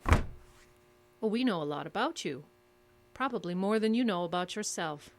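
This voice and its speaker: Mysterious lady interrogator, mid pitch, calm and controlled, clipped questions, radio drama. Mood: cool, probing. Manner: measured, cryptic, clipped questioning. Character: controlled, secretive female interrogator, coolly insistent. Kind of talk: audio drama acting.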